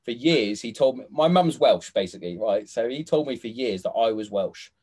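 A man's voice: medium pitch, animated, normal speed, a little mellow, breathy edge. Sweary, chatty man. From England. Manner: sweary, laughing, trails off into restarts. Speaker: podcast host. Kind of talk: casual chat.

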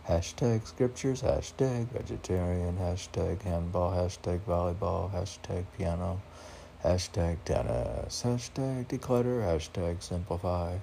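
Slow deep-voiced guy reading his poems, rambling and asking himself stuff, noisy background. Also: creaky, dark tone. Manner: musing aloud, questioning himself as if in dialogue. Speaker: poet reading and commenting on own poems. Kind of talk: informal poetry reading with rambling commentary.

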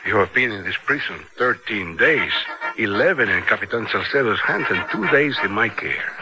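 A low-voiced, formal police colonel. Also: male voice, lively intonation, highs cut off, over loud music. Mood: cold, formal. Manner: formal, deliberate. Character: formal, controlled Latin American secret police colonel. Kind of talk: radio drama acting.

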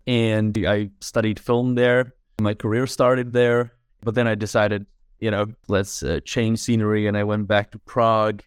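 A fairly low, smooth voice from a young man from the Czech Republic, talking in a relaxed, reflective way. He leans on fillers like "like" and "right?" as he thinks aloud. The sound is dry and close, with no room around it. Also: a little slow, no room sound. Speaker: guest filmmaker. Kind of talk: casual interview chat.

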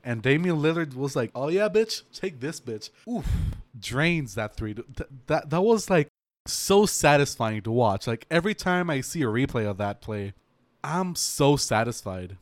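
A man's voice: mid-range voice, very animated, measured pace, dry recording. A man in casual sports banter, brash and profane, trash-talking his friends.

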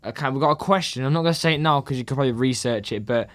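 Guy on local radio, mid-pitched voice, kinda hesitant, says like a lot. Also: rapid-fire. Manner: hesitant, repeating words and saying like often. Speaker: radio co-presenter. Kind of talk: casual radio chat. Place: radio studio.

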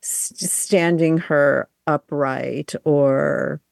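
Woman radio host, lowish voice, meandering questions, dry studio sound. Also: drawn-out words, gravelly, darkish tone, dry recording. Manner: rambling, searching questions with fillers. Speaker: radio arts show co-host. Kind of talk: casual interview questions.

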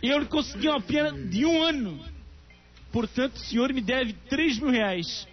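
A man's voice: very high voice, expressive, moderate pace, highs cut off, voice pushed up. A man putting on a pompous Portuguese lawyer. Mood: smug. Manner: exaggerated European Portuguese put-on.

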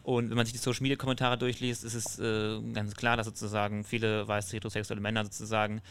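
A man's voice: on the low side, level tone, quick pace, fairly bright tone, clean tone. A man hosting a casual discussion, asking questions in a hesitant way with many fillers and restarts. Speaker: host.

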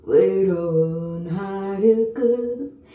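A woman singing Korean ballads a cappella in a soft, earnest way. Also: mid-range voice, normal speed. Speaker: amateur singer. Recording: echoey room, faint music.

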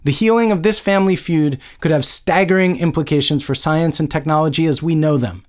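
A man voicing a glib, conciliatory male show host in a story, smooth-talking and given to sagely platitudes. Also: a little high, fairly quick, a little mellow, pitched above the performer's own voice, close to an everyday voice. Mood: upbeat.

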